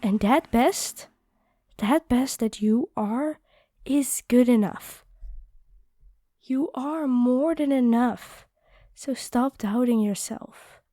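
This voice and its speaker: A woman playing a kind, encouraging vampire fighter in a roleplay audio drama, speaking gently and earnestly. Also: fairly high pitch, takes it slowly, pauses often, dry recording, studio quality.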